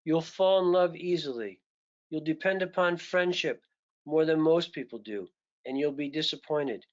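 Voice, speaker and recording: A man voicing a gentle, patient male teacher who speaks softly, low and slowly. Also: natural voice. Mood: earnest. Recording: dull lo-fi recording.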